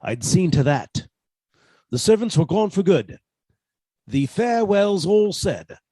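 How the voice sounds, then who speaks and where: A man's voice: sits high, big pitch swings, takes it slowly, dark tone, husky, no echo, full character voice. A man playing a henpecked husband turned murderer in a radio suspense drama, fussy, precise and politely menacing. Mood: coolly confiding.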